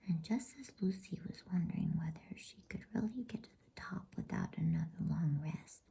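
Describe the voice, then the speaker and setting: A woman reads a storybook aloud as ASMR in a hushed, unhurried, breathy whisper meant to soothe. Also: lowish voice. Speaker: reader of bedtime stories.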